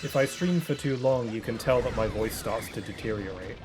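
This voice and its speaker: A man's voice: mid-range voice, moderate pace. Rambling young man streaming a game. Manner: rambling, soft-spoken, many fillers and restarts. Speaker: streamer playing a video game. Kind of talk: casual chat while gaming. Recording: game music playing behind.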